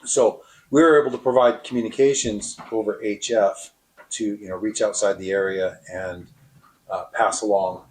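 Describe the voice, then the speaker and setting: A man explaining his experience as a radio operator in an interview, with halting, deliberate phrasing. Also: on the low side, fairly even intonation, darkish tone, slight background noise, rough recording.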